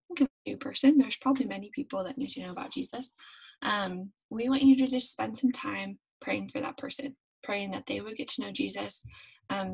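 A woman's voice: medium pitch, vocal fry, slightly rough, poor audio. A young woman leading a church devotional from her bedroom, telling a Bible story in a friendly way with many ums, on a webcam. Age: young adult. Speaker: devotion leader. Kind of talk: youth devotional teaching. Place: bedroom at home. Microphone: webcam at desk.

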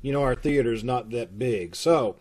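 A man's voice: mid-range voice, moderate pace, darkish tone. A middle-aged man giving casual, explanatory announcements to an online audience over a video call. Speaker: troupe announcer. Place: room at home, indoors.

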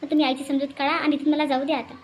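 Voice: high voice, flat delivery, rapid-fire, lightly acted. A performer voicing an earnest, tender city woman in a cartoon melodrama, speaking politely, pleadingly and sentimentally. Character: earnest, tender orphanage woman from Mumbai, bidding farewell.

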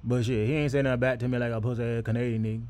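A man's voice: medium pitch, flat delivery, rapid-fire, a little mellow. A man doing comedic voiceover of basketball players and referees, with profane, slangy trash talk.

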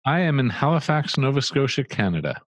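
A man's voice: mid-range voice, sing-song, a little fast, dry recording. A middle-aged man, a guest on an interview, explaining ideas in a thoughtful conversational way, speaking into a studio mic in a small room. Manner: thoughtful, explains with restarts and asides. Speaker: marketing agency co-founder, guest. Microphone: studio mic on a boom arm with headphones.

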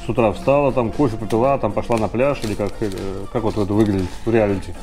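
A man hosts a relaxed interview, talking quickly and stringing his questions together with filler words. His pitch is average for a man. Music plays clearly in the background. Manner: chatty, prompting questions with many fillers. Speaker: interviewer, channel host. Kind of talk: casual interview.

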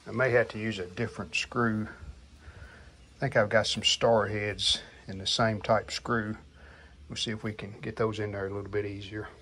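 A man's voice: fairly low pitch, flat delivery, moderate pace, sharp tone. A middle-aged man hosting a how-to video, talking casually and thinking aloud as he works in an outdoor concrete stairwell. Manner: plainspoken, thinking aloud while working. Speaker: DIY channel host. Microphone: camera mic, sometimes far from camera. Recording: outdoor camera audio, birds and ambient noise. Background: outdoor ambience with birds.

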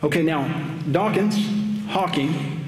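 Thirties man, pointed cross-examiner, slightly high voice, roomy reverberant hall. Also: takes it slowly, darkish tone. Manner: probing, pointed questions, quotes opponent. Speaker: debater. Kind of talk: live debate cross-examination. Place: large auditorium stage. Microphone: podium microphones.

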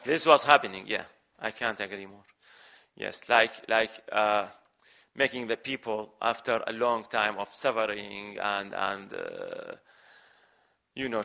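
A man's voice: medium pitch, vocal fry, pushed and bright. An older man from Iraq with an Iraqi accent, answering questions as a lecturer at a podium microphone. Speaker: guest lecturer, professor of medicine. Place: large lecture hall.

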